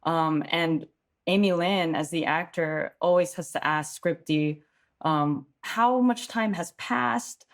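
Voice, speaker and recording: A woman's voice: lowish voice, slow. A woman from California, an actor being interviewed, who answers in a reflective way and explains things at length. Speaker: actor guest.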